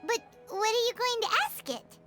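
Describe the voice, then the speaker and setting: A woman voicing a tiny, excitable, childlike fairy companion girl in a video game, with a squeaky voice and an exclamatory manner. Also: normal speed, music in the background. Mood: pondering. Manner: exclamatory, speaks of herself in third person.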